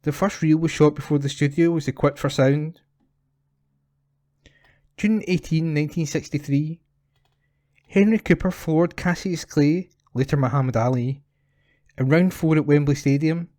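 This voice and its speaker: A man reads prepared news stories aloud as a volunteer reader. His voice sits slightly high for a man, with a mellow, gentle tone. The sound is dry, with hardly any room around it. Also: moderate pace, long pauses, no echo. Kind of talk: scripted news reading. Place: at home.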